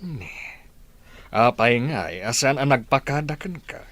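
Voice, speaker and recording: An actor playing a gentle, indulgent old grandfather in an audio drama, with a husky voice and halting, placating speech. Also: mid-range voice, animated. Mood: puzzled.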